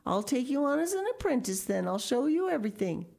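Woman playing an excitable old flower witch, swooping voice. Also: on the low side, moderate pace. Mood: pleased. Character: wrinkled old woman witch, joyous, proud gardener.

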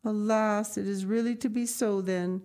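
A woman voicing a poor, frail old grandmother who speaks gently and with a sigh. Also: on the low side, very animated, moderate pace. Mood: sorrowful. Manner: gentle, sighing and tremulous.

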